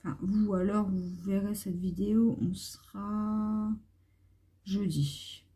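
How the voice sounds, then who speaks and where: A hoarse woman vlogger chatting casually and meanderingly to her viewers into a phone at home. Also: medium pitch, fairly even intonation, slow, dark tone. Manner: rambling, meandering talk.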